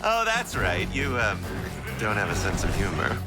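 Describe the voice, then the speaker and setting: An actor playing a manic, sadistic male villain clown who giggles and shifts from purrs to shouts, in a video game cutscene. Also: fairly low pitch, huge pitch swings, moderate pace. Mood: gleeful, mocking. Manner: theatrical, giggling, swoops between purrs and shouts. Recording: game cutscene with loud music.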